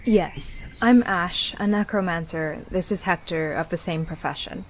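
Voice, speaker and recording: Woman playing a sharp-tongued, skeptical necromancer. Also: lowish voice, a little slow, a little hiss or hum, lightly acted, rough recording. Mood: businesslike. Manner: sardonic, probing. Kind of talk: visual novel voice acting.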